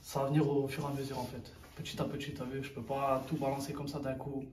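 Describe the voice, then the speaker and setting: A man's voice: medium pitch, little pitch movement, fast talker, a little mellow, distant mic. A man in his twenties, a rapper, chatting in slangy street talk and peppering it with filler tags. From Sri Lankan heritage, raised in France. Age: 20s. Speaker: rapper interviewee. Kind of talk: casual street interview. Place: outdoors in a neighborhood. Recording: roomy, from off the mic, faint street noise.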